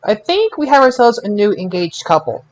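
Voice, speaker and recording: A woman's voice: mid-range voice, sing-song, normal speed, muffled recording. A woman on a wrestling podcast panel, chatting casually and arguing her points insistently. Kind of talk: casual argumentative chat.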